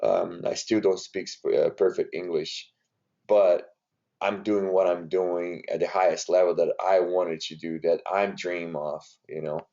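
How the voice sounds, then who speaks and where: Brazilian guy, accent, deep mellow voice, lots of um and you know, kinda muffled audio. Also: fairly even intonation, measured pace. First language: Portuguese. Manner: rambling with many fillers and restarts. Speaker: NBA strength and conditioning coach. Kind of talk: casual interview talk. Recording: dull lo-fi audio.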